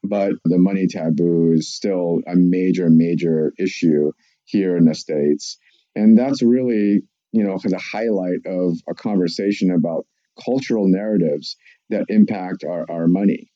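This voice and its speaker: Deep dark-voiced man. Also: no echo, lo-fi sound. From Russia. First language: Russian. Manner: measured, explanatory, lists points. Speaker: psychologist. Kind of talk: podcast interview answer.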